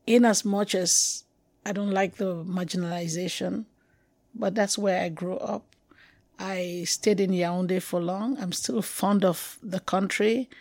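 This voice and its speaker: A middle-aged Cameroonian woman speaks in a reflective, halting way, often restarting and drawing out key words. Her voice sits in an ordinary middle range. The recording is close and dry, with no room sound. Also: mid-range voice, a little mellow, no echo. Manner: reflective, halting, with restarts and slow emphatic stretches. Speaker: guest poet. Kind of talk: conversational interview.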